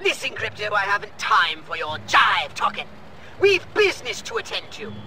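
A man's voice: sits high, huge pitch swings, normal speed, pushed and bright, exaggerated voice. An actor playing a pompous, theatrical male alien commander who speaks as a hologram in a video game. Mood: haughty. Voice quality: haughty, theatrical. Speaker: superior officer. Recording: game audio with faint music.

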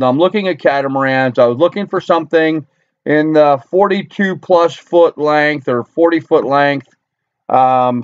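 A man's voice: on the high side, no room sound. A middle-aged American man from Long Island, New York, talking viewers casually through what he sees in a rambling, repetitive way. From Long Island, New York, USA. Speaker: vlogger. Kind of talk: casual talk-through commentary. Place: small cluttered wooden-ceilinged room indoors. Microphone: computer webcam at desk distance. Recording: dull webcam microphone sound.

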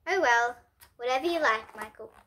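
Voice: mid-range voice, normal speed, sharp tone. A child reader voicing a puzzled, good-natured dad from a storybook, hesitant and willing to go along. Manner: bemused, hesitant, going along.

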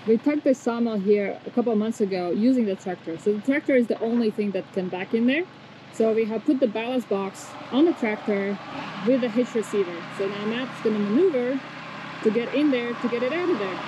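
A woman's voice: fairly high pitch, a little fast, dark tone, a little hiss or hum, rough recording. A woman co-hosting a vlog, explaining matter-of-factly while outdoors. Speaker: co-host vlogger. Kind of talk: casual narration.

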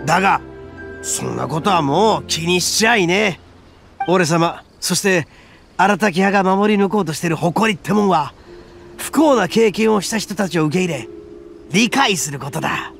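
An actor playing a boastful, brash young male oni gang leader in a game cutscene, with a rough, swaggering way of talking and a gravelly edge. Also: high voice, expressive, normal speed, heavily acted. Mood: proud. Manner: swaggering, rough-spoken, self-proud. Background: soft game music.

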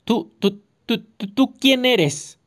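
A man's voice: extremely high pitch, slow, a bit bright, voice pushed up, heavily acted. A reader voicing a frantic escaped convict, a man who stammers and repeats his words in an audiobook. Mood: panicky stammering.

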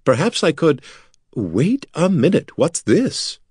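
Guy playing an excitable posh young noble, high voice, breathless, fantasy audiobook. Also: slow pace, slightly rough, no room sound, clean studio sound, full character voice. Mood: eager, excited.

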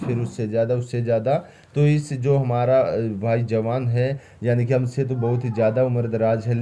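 Mellow-voiced man explaining news updates. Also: mid-range voice. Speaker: host of pension update channel. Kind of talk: explanatory news commentary over slides.

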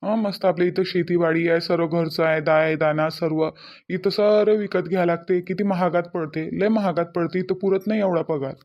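A man's voice: high voice, fairly even intonation, normal speed, highs cut off. A man voicing a homesick, troubled grown son in a cartoon, apologetic and complaining, with a Varhadi Marathi accent. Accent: Varhadi (Vidarbha) Marathi. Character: troubled grown son, homesick debt-ridden city worker. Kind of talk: cartoon family drama dialogue.

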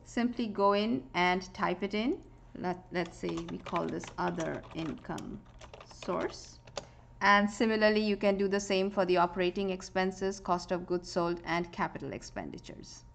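Woman calmly walking through a spreadsheet. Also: on the low side, moderate pace, creaky, slight background noise. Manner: calm, explanatory, frequent "uh" fillers. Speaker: software tutorial presenter. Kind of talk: screen-recorded spreadsheet walkthrough.

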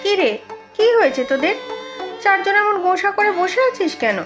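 A woman's voice: very high voice, lively intonation, moderate pace, fairly bright tone, faint music behind. A woman voicing a simple-minded, bewildered housewife and mother in a cartoon, full of exclaimed questions. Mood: puzzled. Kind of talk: animated cartoon dialogue.

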